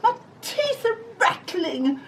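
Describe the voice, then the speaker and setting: A woman voicing a timid, easily frightened male cook in an outdoor storybook performance, stammering and squeaking with panic. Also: big pitch swings, takes it slowly, noisy background. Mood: scared. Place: back garden outdoors.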